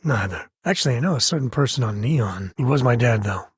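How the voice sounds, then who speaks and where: An actor voicing a gruff, wry spacefaring cowboy father in a video game, with a husky, raspy voice and folksy, informal delivery. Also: medium pitch, expressive, brisk, no echo, studio quality. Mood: casual. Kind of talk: video game character voice lines.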